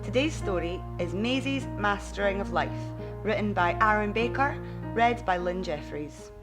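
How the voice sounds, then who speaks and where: A woman's voice: mid-range voice, animated, moderate pace. Woman narrating a story over music. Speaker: audiobook narrator. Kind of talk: expressive bedtime story narration. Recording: music behind the voice.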